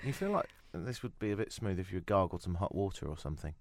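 A man hosting a talk radio show, sardonic and animated, joking and ranting about the news. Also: low voice, fast talker, a crowd in the background. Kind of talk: opinionated talk radio chat. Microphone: studio microphone.

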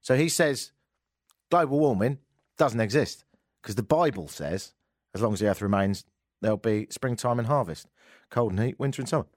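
Man, radio host, mocking, exasperated, animated pitch, dry close mic. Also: moderate pace, long pauses, no room sound. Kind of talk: sarcastic comic rant.

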